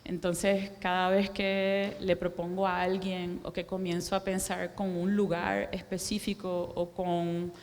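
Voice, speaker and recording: A woman in her forties with a Puerto Rican accent speaks slowly and thoughtfully to an audience, pausing and filling the gaps as she thinks aloud. Her voice sits somewhat low. The sound rings out in a reverberant hall. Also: little pitch movement, a little mellow. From Puerto Rico. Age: 40s. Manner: slow, reflective, many pauses and fillers. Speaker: guest artist speaking to an audience. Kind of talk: public talk. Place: cultural center lecture room. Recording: echoey hall.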